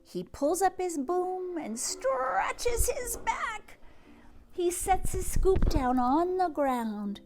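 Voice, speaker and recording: A woman hosting a read-aloud for young children, speaking expressively and gently, with hushes and words that drop toward a whisper. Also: extremely high pitch, sing-song, very slow, background noise. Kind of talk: children's storybook read-aloud and chat to families.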